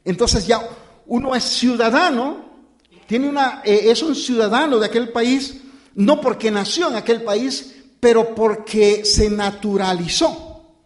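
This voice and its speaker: Un predicador de voz alta y tono coloquial habla a su congregación con pausas, titubeos y arranques repetidos. Su sermón suena informal, como una conversación con los hermanos. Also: male voice, very high voice. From born outside the United States. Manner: informal, halting, with many fillers and restarts; addresses listeners as brothers and "usted". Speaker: pastor. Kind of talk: conversational sermon.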